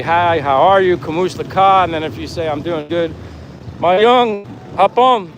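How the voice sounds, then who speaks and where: Clear traffic and engine noise surrounds a man talking outdoors as he walks. His voice sits a little higher than most men's. He chats casually with livestream viewers, reading their comments and responding with friendly, effusive thanks. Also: a bit bright. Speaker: livestream host. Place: outdoors on the move, near traffic.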